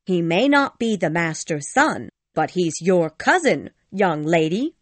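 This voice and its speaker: A woman acts a plain-spoken storybook housemaid, firm and unafraid. She is matter-of-fact but lively in her intonation, recorded dry and close. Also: lowish voice, a little slow, no echo. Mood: firm, rebuking. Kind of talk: dramatised audiobook dialogue.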